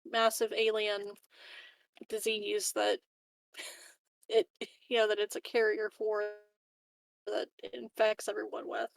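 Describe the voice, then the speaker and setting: A woman with a creaky, mid-pitched voice improvises as a player in a tabletop roleplaying game. Her talk is casual and halting, often correcting itself, and sounds close and dry. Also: completely flat delivery, dry recording.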